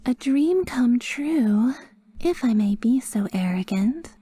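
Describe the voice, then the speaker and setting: Soft, unhurried, clingy angel played by a woman. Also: a little high, expressive, dry recording, heavily acted. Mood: flustered, earnest. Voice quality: soft, breathy, often dropping to a whisper. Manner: formal, flowery, slow and adoring. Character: sweet, clingy, possessively devoted female guardian angel. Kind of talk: roleplay ASMR, scripted monologue.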